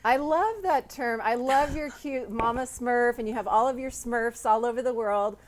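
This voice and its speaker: A woman's voice: a little high, moderate pace, a little hiss or hum. A woman hosting a sit-down interview, speaking in an effusive, complimentary and empathetic way. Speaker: interviewer and host.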